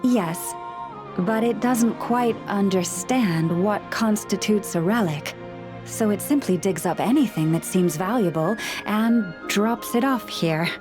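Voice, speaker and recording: A woman plays a gentle keeper of a forest library who talks with animals, her voice mid-pitched, calm and politely formal. Game music plays clearly underneath her lines. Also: slow pace, natural voice. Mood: patient. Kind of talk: video game voice acting. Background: game background music.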